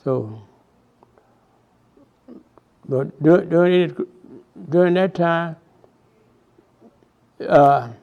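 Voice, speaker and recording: A man in his nineties with a Texas Southern accent speaks very slowly in a slightly raised voice. He stops and restarts often as he remembers. The recording is dull and lo-fi. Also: a little high. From Houston, Texas. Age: 90s. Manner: halting, many repeated words and restarts. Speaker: interviewee, oral history. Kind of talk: reminiscing oral history interview.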